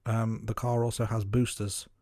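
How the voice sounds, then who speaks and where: A man co-hosting a podcast, recapping film scenes in a steady, casual way with some fillers. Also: fairly low pitch, moderate pace, no room sound, studio quality. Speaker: podcast co-host. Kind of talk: casual film review chat.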